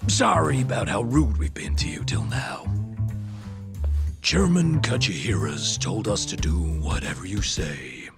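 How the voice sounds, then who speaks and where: Deep, expressive yakuza man. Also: a little slow, gravelly, heavily acted. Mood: deferential. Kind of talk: English video game dub acting. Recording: music behind the voice.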